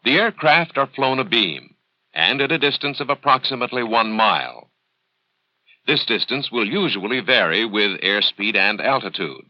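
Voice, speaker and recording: An American man narrating a formal instructional film script in a measured, authoritative, precise manner, on an old 1970s film soundtrack. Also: mid-range voice, expressive, dry recording. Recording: dull, dry 1970s training film soundtrack.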